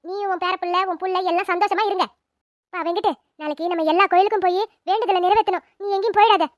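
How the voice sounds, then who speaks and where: A woman voicing a firm, bossy older neighbour who loudly reassures the family. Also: very high voice, fairly even intonation, very fast, no echo, clean studio sound. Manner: loud, reassuring, commanding.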